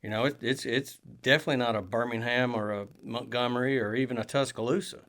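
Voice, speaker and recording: A man's voice: mid-range voice, fairly even intonation, fast, fairly bright tone. A man, co-hosting a podcast in casual chat, rambling with many fillers and restarts. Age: 20s. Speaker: podcast co-host.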